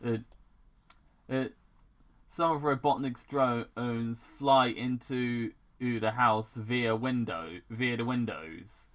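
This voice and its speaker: A man reading a story aloud as an amateur narrator, halting and stumbling over his words, with casual asides. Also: medium pitch, fairly bright tone. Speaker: reader of fan fiction.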